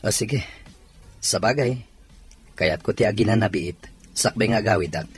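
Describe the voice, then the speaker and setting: A man's voice: on the low side, moderate pace, soft background music, natural voice. A man playing an easygoing, curious visiting friend in an Ilocano audio drama. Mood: casual, curious. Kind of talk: Ilocano radio-style drama acting.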